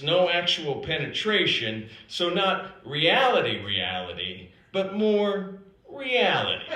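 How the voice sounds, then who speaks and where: An American man performing a scripted comedic story on stage with theatrical, deliberate pauses and emphatic delivery. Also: a little high, pushed and bright, slight background noise. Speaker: storyteller performing own written piece. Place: theater stage before an audience. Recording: roomy live stage recording. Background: audience laughter.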